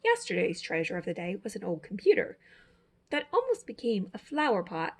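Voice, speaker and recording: A woman's voice: mid-range voice, huge pitch swings, brisk, slight background noise. A woman reading a children's picture book aloud as a storyteller, with dramatic, emphatic phrasing. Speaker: storyteller, teacher.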